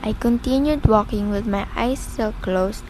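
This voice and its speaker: Clear background noise runs under a melancholy young female patient played by a woman. She narrates her own story in a fairly high voice, as in a scripted radio drama. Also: unhurried, darkish tone, close to an everyday voice, low-quality recording. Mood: uneasy. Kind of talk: first-person scripted radio play narration.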